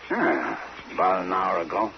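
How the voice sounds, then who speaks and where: An actor playing a hot-tempered, dim young American prizefighter in rough slang, in an old-time radio crime drama. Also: male voice, low-pitched, very animated, moderate pace, exaggerated voice. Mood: sullen, defensive. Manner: rough uneducated slang speech. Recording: noisy, dull old radio recording.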